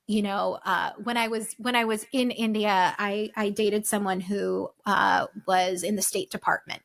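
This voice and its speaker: A woman's voice: mid-range voice, steady tone, unhurried, creaky, fairly bright tone. A woman in her forties from the United States, a guest on an interview podcast, talking reflectively and animatedly with many fillers and restarts.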